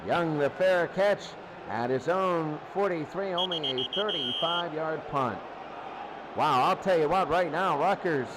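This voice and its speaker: High-pitched man calling football, noisy recording. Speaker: play-by-play sports commentator. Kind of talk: live football commentary.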